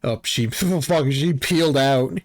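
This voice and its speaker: A man's voice: medium pitch, slow pace. A man giving casual, wry, sweary commentary and reactions on a horror series. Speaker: video essayist and reactor. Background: background music.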